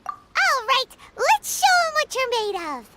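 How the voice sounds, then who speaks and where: A woman voicing a tiny, bubbly, childlike fairy companion in a video game, with a squeaky voice. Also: sing-song, takes it slowly, exaggerated voice. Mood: cheerful, eager. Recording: loud music behind the voice.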